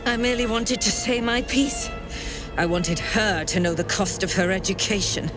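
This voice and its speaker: A woman's voice: mid-range voice, normal speed, lightly acted. A woman playing a proud, bitter cult leader and cruel mentor in a fantasy game, speaking in a grandiose, taunting way. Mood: solemn, unrepentant. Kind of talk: theatrical fantasy game acting. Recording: music playing behind the voice.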